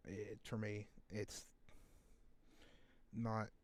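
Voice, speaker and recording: A man co-hosting a reaction podcast, giving his opinions casually in a low-key, hesitant way. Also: little pitch movement, drawn-out words.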